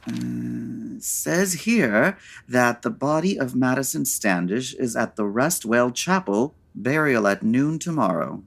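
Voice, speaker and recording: A man playing a suave, wry gentleman sleuth in an old-time radio comedy, urbane and dryly sarcastic. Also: mid-range voice, expressive, takes it slowly, vocal fry, hardly any pauses, heavily acted. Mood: amused, urbane.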